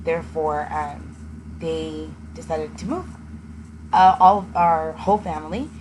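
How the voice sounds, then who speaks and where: Low-voiced earnest young woman, traffic noise. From Texas. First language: Spanish. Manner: earnest, pausing often with ums. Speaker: education student. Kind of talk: personal testimony. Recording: noisy with passing vehicle sounds.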